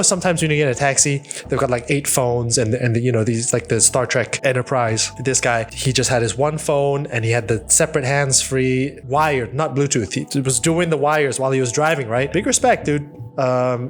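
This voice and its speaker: A man in his thirties hosting a casual solo podcast, telling a rambling story and laughing along, on a studio boom mic. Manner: animated, rambling, laughs at his own stories. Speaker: podcast host. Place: home studio with wooden wall. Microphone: studio mic on boom arm, headphones.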